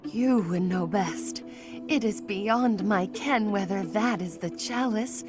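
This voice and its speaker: A woman's voice: mid-range voice, slow pace, over music, close to an everyday voice. A woman playing a formal, self-abasing young noblewoman mage in a video game, with ornate, apologetic phrasing. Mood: meek, uncertain. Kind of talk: English video game voice acting.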